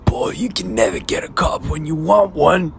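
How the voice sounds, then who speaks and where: Guy as smug cartoon crook, gravelly, jeering, bit of noise behind. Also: a little high, lively intonation, normal speed.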